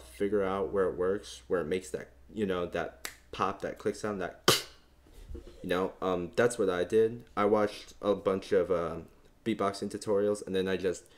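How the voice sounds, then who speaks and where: A young man with a very low bass voice walks the listener through beatbox sounds. He talks casually and rambles a bit, leaning on fillers as he explains. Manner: relaxed, rambling, many fillers. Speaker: beatbox tutorial host. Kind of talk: casual instructional talk.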